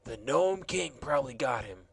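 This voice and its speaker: Storybook role: an easygoing, shaggy wanderer, adult man, offhand and chatty, gravelly tone with lively pitch, read by a man. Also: normal speed. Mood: rueful. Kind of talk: audiobook character voice.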